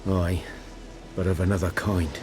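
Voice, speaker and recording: An actor playing a weary outcast hunter, a man who speaks quietly and guardedly. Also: sits low, little pitch movement, moderate pace, natural voice. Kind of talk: video game voice acting. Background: game music.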